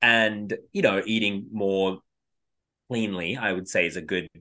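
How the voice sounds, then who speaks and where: A man's voice: lowish voice, measured pace, bright, forceful tone. A man co-hosting a podcast, talking in a reflective, meandering way and often trailing off mid-thought to restart. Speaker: podcast co-host. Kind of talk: casual spiritual chat.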